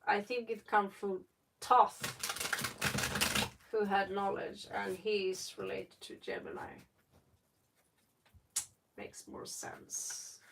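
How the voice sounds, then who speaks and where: A woman talks slowly through a casual tarot livestream, with plenty of fry in her voice. She rambles and thinks aloud as she reads. The microphone sounds some way off from her. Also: mid-range voice, flat delivery, background noise. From Norway. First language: Norwegian. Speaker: tarot reader, livestream host. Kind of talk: casual live tarot reading, chatting. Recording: distant microphone.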